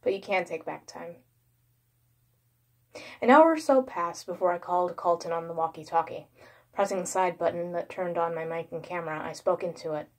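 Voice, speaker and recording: A woman's voice: fairly low pitch, moderate pace, a little mellow. A woman narrating a first-person creepypasta story as its storyteller.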